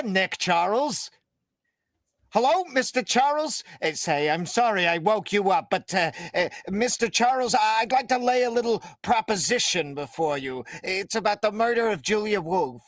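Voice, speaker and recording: Man acting a high-pitched, stammering shifty informant. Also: a bit bright, no room sound, clean studio sound. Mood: nervous, pushy. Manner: stammering, slangy gangster talk. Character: shifty, nervous male informant peddling a murder secret by phone. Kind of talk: 1930s radio detective drama acting.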